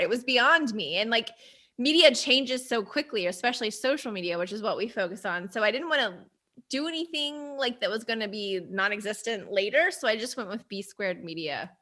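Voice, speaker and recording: Bright, girlish-sounding businesswoman. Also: a little high. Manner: chatty and animated, laughs easily. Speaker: agency CEO, guest. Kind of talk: casual interview chat.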